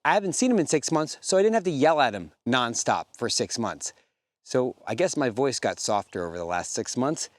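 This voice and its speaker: A young man talks outdoors on a hillside field, his voice a little raspy after a day of yelling. He explains loosely and casually, thinking aloud in rambling, easygoing sentences at a mid pitch. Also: big pitch swings, brisk, dry recording. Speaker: homesteading vlogger.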